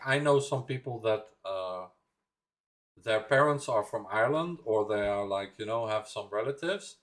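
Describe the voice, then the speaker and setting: A young Dutch man from the Netherlands hosting a livestream, chatting casually, rambling and laughing as he reads viewer comments. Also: medium pitch. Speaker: livestream reaction host.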